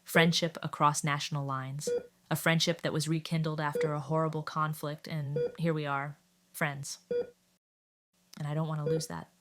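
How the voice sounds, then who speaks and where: A woman playing a proud, reserved warplane in an audio drama, measured and reflective. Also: sits low, flat delivery, a bit bright. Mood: quiet, sad.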